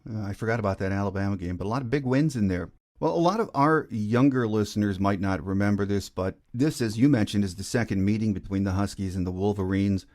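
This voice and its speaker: A man's voice: fairly low pitch, fast, a little mellow. A man hosting a sports podcast, putting questions to a guest in a conversational style. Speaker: podcast host, interviewer.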